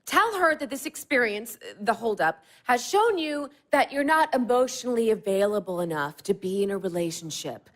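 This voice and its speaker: Bossy, high-pitched young woman. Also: animated, moderate pace, few pauses. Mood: firm, coaching. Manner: directive, matter-of-fact. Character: bossy, blunt young woman, pragmatic fixer. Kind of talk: naturalistic audio play dialogue.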